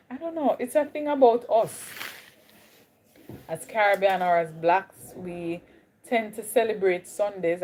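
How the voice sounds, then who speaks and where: A woman's voice: fairly low pitch, mellow. A young woman with a Jamaican accent, chatting casually with viewers on a live stream. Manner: chatty, greets viewers, drifts between Caribbean accents. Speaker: livestream host.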